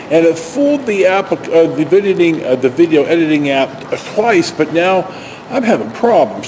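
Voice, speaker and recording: An older, retired man telling stories casually to camera in a halting, rambling, self-correcting way. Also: a little high, noisy background. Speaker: video storyteller.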